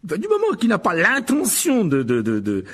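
Man acting a befuddled, excitable wizard dad, very animated. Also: a little high, brisk, creaky, breathy edge, heavily acted. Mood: guilty, flustered. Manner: stammering, hesitant, backtracking. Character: befuddled, sheepish middle-aged wizard father, excitable enthusiast.

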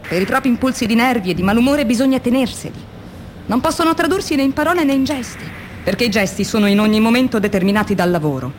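An actress playing a young, tormented philosophy teacher turned factory worker, in a radio drama monologue with measured, deliberate phrasing and dramatic pauses. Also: female voice, on the high side, lively intonation, close to an everyday voice. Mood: tense, observant. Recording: clearly noisy recording with ambient sound.

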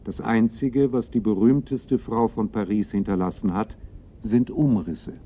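Measured narrator of a crime radio play, low voice, slightly muffled old recording. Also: male voice. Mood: matter-of-fact, suspenseful. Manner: measured, literary. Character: dry, atmospheric male narrator of a crime story.

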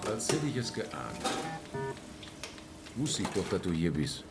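Radio play casting: blunt Ruhr buddy, low voice, lively swings, loud music underneath. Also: male voice, a little slow. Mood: grumbling disbelief. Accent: Ruhr area German. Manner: blunt, coarse, colloquial. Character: blunt, grumbling working-class male pal. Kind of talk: German radio drama acting.

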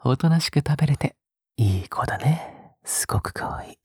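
Breathy, sickly-sweet possessive young man, acted by a man. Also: a little high, fairly even intonation, fast, no room sound, clean studio sound. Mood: doting, obsessive. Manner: soft, coaxing, often dropping to a breathy whisper. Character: possessive, sickly-sweet young male captor doting on a pet-like girl. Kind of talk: intimate audio drama acting, spoken to the listener.

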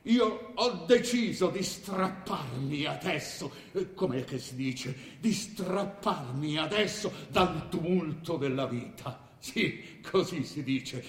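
Slow, high-voiced, bewildered king. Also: animated. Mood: resigned, halting. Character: bewildered, forgetful, world-weary ageing king. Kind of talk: stage drama acting. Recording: echoey hall with long reverb.

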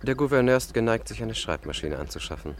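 An actor playing a courteous, brooding young student revolutionary in a German radio play, speaking in measured, formal phrases. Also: male voice, lowish voice, expressive, a little hiss or hum, natural voice. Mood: courteous, earnest.

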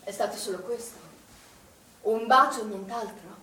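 Stage drama: anxious, probing pool manager, high register, firm but uneasy, distant roomy recording. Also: female voice, moderate pace, sharp tone. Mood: cautious concern. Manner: insistent, earnest, questioning. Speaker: stage actress. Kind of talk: naturalistic Italian stage drama.

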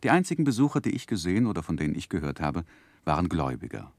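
A man's voice: low-pitched, sing-song, very fast, darkish tone, dry recording, heavily acted. An actor playing a grown man who earnestly recalls his boyhood, narrating in a literary 1960s German radio play style. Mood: wry.

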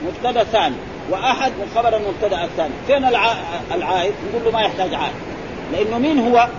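A man with a lilt teaches grammar at a fast clip, his voice sitting high. He keeps firing little prompts at his students. The sound is muffled, with chatter and traffic noise around him. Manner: quizzing, prompting with repeated "eh?" and "ha?". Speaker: sheikh teaching Arabic grammar. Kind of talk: classroom explanation with questions to students.

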